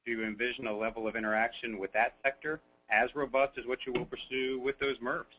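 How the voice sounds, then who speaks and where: A man's voice: mid-range voice, flat delivery, fairly quick, sharp tone, rough recording. A man moderating a webinar in a casual, chatty and encouraging way, reading out questions from the audience.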